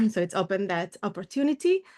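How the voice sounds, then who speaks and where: A woman's voice: medium pitch, big pitch swings, brisk. Middle-aged woman coach on a video call, earnest, thinks aloud, lots of ums. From Ukraine, raised in Israel. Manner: warm, earnest, thoughtful with fillers. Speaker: coach and guest. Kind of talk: casual interview over video call.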